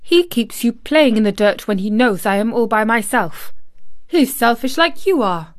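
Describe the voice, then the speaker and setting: A woman's voice: on the high side, animated, moderate pace, no echo, clean studio sound, close to an everyday voice. Woman as a petulant, sickly young boy. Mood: indignant. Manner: petulant, imperious.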